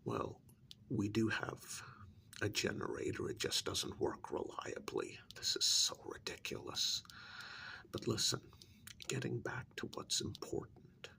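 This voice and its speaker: A man playing a cold, condescending secret-agency superior in a soft-spoken ASMR role-play, measured and patronizing, with a breathy, gravelly half-whisper and deliberate pauses. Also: on the low side, sing-song, exaggerated voice. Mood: irritated.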